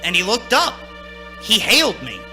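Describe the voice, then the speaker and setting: A man's voice: high voice, huge pitch swings, normal speed. An actor playing a haunted, anxious young man in a radio play, dramatic and nervously stammering. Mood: haunted, brooding. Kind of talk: radio play acting, narrating and in scenes. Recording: stage radio play with music.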